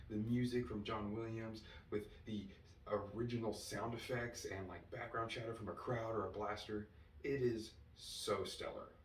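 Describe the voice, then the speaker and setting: A man records an excited fan review from some way off the mic in a roomy space, with faint noise underneath. His voice is medium in pitch, and he leans hard on key words, stretching them out for emphasis. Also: far from the mic. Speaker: reviewer and host. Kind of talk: enthusiastic fan review monologue.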